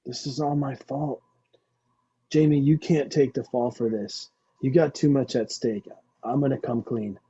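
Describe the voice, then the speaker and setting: An actor playing a dim, goofy and melodramatic young slacker in a comedy table read. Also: male voice, medium pitch, steady tone, a little slow, dark tone, husky. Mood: earnest, dramatic. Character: dim, dramatic slacker young man, soon a dad. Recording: slightly dull online-call audio.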